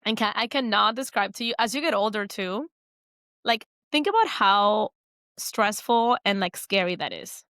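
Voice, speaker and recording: A woman's voice: medium pitch, animated, normal speed, pushed and bright, dry recording, studio quality. A woman co-hosting a podcast in casual chat, talking freely with lots of fillers and restarts. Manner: chatty, many fillers and restarts. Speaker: podcast co-host.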